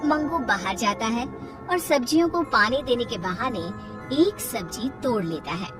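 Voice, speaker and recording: Slow-paced woman narrating a cartoon story. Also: on the high side, animated, recorded from a distance, over music.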